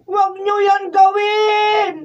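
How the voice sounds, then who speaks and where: An actress with a Filipino accent playing a frantic, innocent, childlike youngster who pleads in a radio drama. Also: female voice, extremely high pitch, completely flat delivery, drawn-out words, exaggerated voice. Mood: frantic pleading. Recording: dull, noisy, distant studio camera recording.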